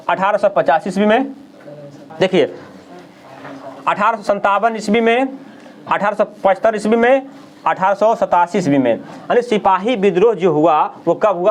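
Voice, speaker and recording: A man with a Bihari accent teaching an exam lesson, reading out questions and answers didactically and repeating himself with quick check questions. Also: high-pitched, a little hiss or hum. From India. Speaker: history teacher.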